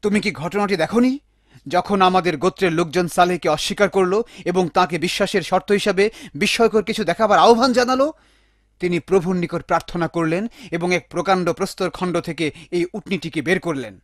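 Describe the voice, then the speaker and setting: পুরুষকণ্ঠে চড়া গলার ধার্মিক কার্টুন গ্রামবাসী. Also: male voice, sits high, fairly even intonation, fast, no echo, clean studio sound, natural voice. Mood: earnest. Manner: earnest, scolding, instructive. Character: pious, admonishing male villager guarding a sacred well. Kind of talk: animated religious cartoon dialogue.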